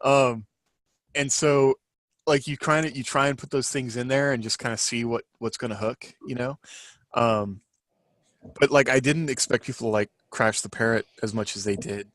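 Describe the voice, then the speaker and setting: A man in a casual podcast chat as a fiction writer, talking in a rambling, halting way as he thinks aloud. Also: medium pitch, steady tone, a bit bright, no echo.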